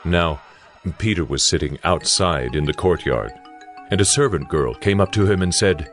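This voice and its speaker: A man narrating scripture in a solemn, measured and dramatic scripted style over music. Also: low-pitched, expressive.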